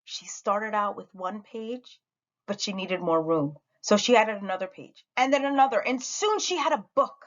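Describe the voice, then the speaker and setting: A woman reading a children's story aloud with dramatic, deliberate expression. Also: medium pitch, expressive, dull audio. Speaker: storybook reader at a school read-aloud.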